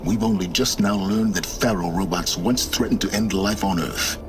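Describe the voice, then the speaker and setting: A man's voice: low voice, natural voice. A man playing a cold, condescending scholar. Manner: condescending, clipped, slow deliberate. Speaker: game character. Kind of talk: video game cutscene acting. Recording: game audio with clear background noise.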